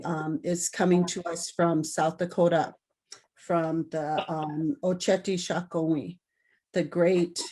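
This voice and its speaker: Woman host introducing guests, mellow and low, unhurried, soft-spoken. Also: a little hiss or hum. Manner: soft-spoken, gently halting with ums. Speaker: event host. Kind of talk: welcoming introductions.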